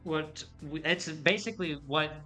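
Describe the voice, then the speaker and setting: Bright, excitable young man. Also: on the high side, unhurried, faint music behind. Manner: excitable, swears casually, mimics in a sing-song. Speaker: podcast co-host. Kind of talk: casual chat.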